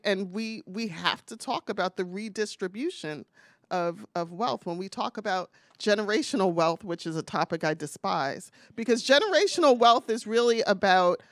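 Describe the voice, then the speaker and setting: A woman speaks as a panel moderator in a conversational, candid style. Her voice sits at a medium pitch and she makes her points directly. The recording is dry, with little room sound. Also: no room sound.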